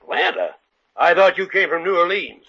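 An actor playing a pompous, lecherous older American judge, blustery and easily flustered, with a gravelly voice in old-time radio comedy. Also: male voice, high-pitched, sing-song, moderate pace, sharp tone, heavily acted. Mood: flustered, grudging. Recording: muffled old radio recording.

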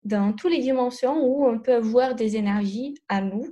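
A Brazilian woman speaking French with a Brazilian accent, a therapist guest in a podcast interview, talking hesitantly with many pauses and restarts. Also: fairly high pitch, warm tone, dull audio. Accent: Brazilian Portuguese.

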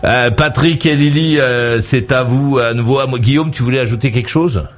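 A man's voice: mid-range voice, normal speed. A man hosting a late-night call-in radio show, joking and rambling, laughing often as he talks with callers. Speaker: radio talk show host.

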